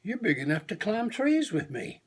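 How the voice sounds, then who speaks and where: A man voicing a proud, encouraging father bear in a children's storybook, coaxing gently and repeating his reassurances. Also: high voice, sing-song, moderate pace, husky, voice pushed up, heavily acted. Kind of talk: children's storybook read-aloud.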